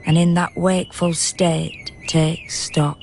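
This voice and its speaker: An actress playing a knowing, unsparing narrator in a poetic radio drama, measured and wry. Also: female voice, fairly low pitch, flat delivery, darkish tone, a little hiss or hum. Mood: grave storytelling.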